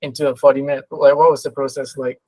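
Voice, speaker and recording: A man's voice: mid-range voice, level tone, fast talker, a little mellow, dry recording, rough recording. A man chatting casually as an interviewer, loosely rephrasing his questions as he goes.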